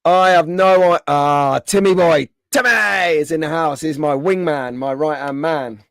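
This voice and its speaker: Chatty man, slightly hoarse. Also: a little high, steady tone, a little slow, dry recording. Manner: chatty, greets viewers by name. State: slightly hoarse, under the weather. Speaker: live stream host. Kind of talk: casual live stream chat.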